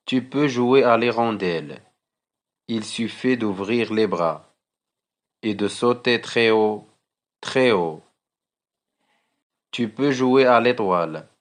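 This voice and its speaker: Very slow-speaking man reciting poetry. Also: on the low side. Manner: deliberate, with measured repeated phrases. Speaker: teacher reciting a poem for pupils.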